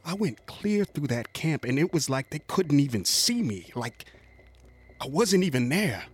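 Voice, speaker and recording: An actor playing a haunted, rough-spoken US Cavalry veteran, telling his story in a folksy, halting way in a radio drama. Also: on the high side, very animated, full character voice. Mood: bewildered. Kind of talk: dramatic first-person monologue, radio drama.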